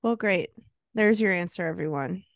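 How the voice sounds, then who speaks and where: A woman's voice: fairly low pitch, normal speed, no room sound, studio quality. A woman in her late thirties co-hosting a podcast, chatting casually and laughing often. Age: 30s. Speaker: podcast co-host. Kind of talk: casual chat.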